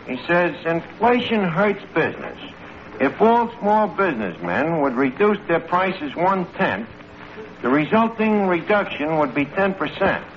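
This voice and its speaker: An actor playing a self-assured, scheming working-class tavern manager with a New York accent and mangled folksy grammar in an old radio comedy. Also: male voice, high-pitched, very animated, measured pace. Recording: muffled, noisy vintage radio recording.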